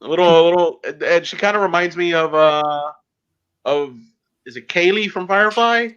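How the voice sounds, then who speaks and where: A man hosting a casual interview, asking questions in a loose, halting, conversational way. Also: fairly high pitch, fairly bright tone, clean tone. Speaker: podcast host, interviewer. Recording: slightly dull, lo-fi sound.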